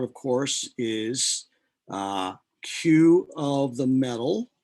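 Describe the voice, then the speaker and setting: A man giving an informal, deliberate lecture as a chemistry teacher, working through equations step by step. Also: medium pitch.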